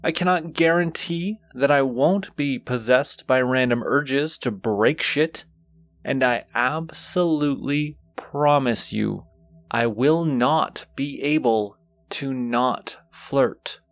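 A flirtatious male demon , acted by a man, drawls very slowly in a medium-pitched voice. He is teasing, seductive and gleefully wicked, speaking straight to the listener in an intimate audio roleplay. The sound is close and dry. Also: dry recording. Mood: mock solemn, teasing. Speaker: voice actor.

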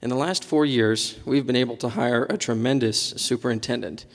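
Young man reading a statement in a hall. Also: medium pitch. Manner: steady, measured reading. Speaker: campaign committee member reading a statement. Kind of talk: reading a written statement aloud. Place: large hall, seated on stage panel. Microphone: handheld microphone. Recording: long hall reverb.

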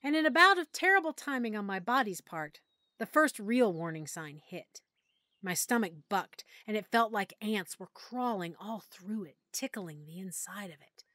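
A woman narrating a first-person audiobook in a soft, emotional storytelling manner. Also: medium pitch, animated, normal speed, no room sound, studio quality.

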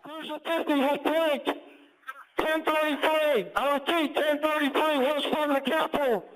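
A man's voice: very high voice, fairly even intonation, moderate pace. A male police officer giving urgent dispatch reports over a police radio. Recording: very noisy echoing police radio transmission. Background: loud noise.

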